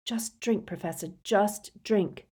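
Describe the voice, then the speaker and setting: A woman narrator plays a teenage boy wizard in a storybook, his voice lifted high and pushed with urgency. He sounds frightened and desperate, pleading in gasps. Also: slow, warm tone, slightly rough. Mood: urgent coaxing. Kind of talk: audiobook character voice.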